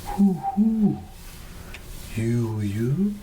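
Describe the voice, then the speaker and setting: A man's voice: medium pitch, very slow, pitched above the performer's own voice, low-quality recording. A man voicing a weird night owl in a storybook, hooting eerily. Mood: eerie hooting. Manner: hooting, eerie. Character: weird screeching night owl in a pine tree. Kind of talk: storybook character in ASMR reading. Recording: steady background ambience noise.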